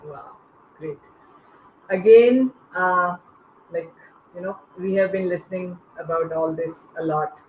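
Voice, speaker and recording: A woman moderating an unscripted panel with hesitant, halting phrasing. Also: fairly low pitch, a little mellow, dull audio. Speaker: panel host. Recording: echoey, faintly noisy online call.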